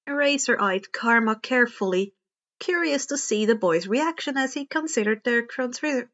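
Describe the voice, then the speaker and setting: A woman's voice: on the high side, fairly bright tone. A woman reading fan fiction aloud as narrator, now and then stumbling and rereading phrases. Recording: dull, muffled lo-fi home recording.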